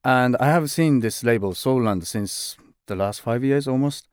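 A man speaking as a fashion director in a conversational interview, halting and full of "like" and "uh" fillers, often restarting words. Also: medium pitch, a little mellow, clear, resonant tone, no room sound.

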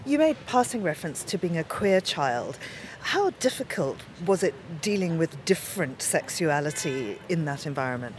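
A woman's voice: low voice, big pitch swings, moderate pace, a little hiss or hum, low-quality recording. A woman from Zimbabwe who hosts the interview, introducing her guest and asking direct questions in a composed manner. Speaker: interviewer host. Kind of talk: radio interview.

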